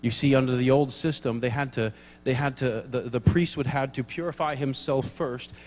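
A man's voice: medium pitch, steady tone, moderate pace, a little mellow, long reverb tail. A man preaching a sermon in church, telling funny stories and acting out the dialogue, laughing at his own jokes. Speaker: pastor.

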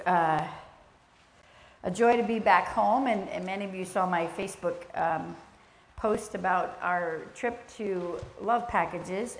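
A soft-spoken woman leading a church teaching session, speaking in a gentle, conversational way with a spoken prayer. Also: on the low side, a little hiss or hum. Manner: gentle, conversational, with fillers and restarts. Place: church sanctuary. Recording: echoey church room, faint ambience.

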